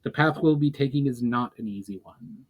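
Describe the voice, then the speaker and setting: Male narrator, mid-range pitch, dramatic reading of epic fantasy prose. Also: normal speed, slightly rough, low-quality recording. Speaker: author reading own fiction aloud. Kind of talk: dramatic audiobook-style reading of a fantasy story.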